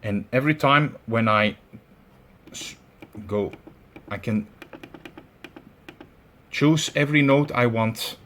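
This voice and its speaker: A man with a Belgian accent giving a hands-on tutorial, talking in halting steps with many fillers as he searches for words. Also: medium pitch, fairly bright tone, slight background noise. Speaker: tutorial presenter. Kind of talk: instructional demonstration.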